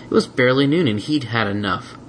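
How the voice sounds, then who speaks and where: A man's voice: medium pitch, a little fast, fairly bright tone. A man reading a scripted story aloud as an audiobook narrator, with faint noise on the recording. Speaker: audiobook reader.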